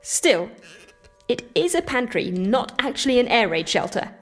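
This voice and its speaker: A woman's voice: on the high side, sing-song, a little slow. A woman acting in an audio drama as a jittery but wry woman sheltering in a cellar, in naturalistic dialogue. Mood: wry, nervous.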